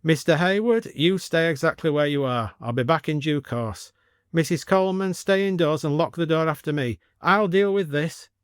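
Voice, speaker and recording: A man's voice: on the high side, normal speed, clear, resonant tone, no echo, clean studio sound, pitched above the performer's own voice, natural voice. A man voicing an officious, pedantic village police constable, formal and by the book, in an audio story. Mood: brisk, authoritative.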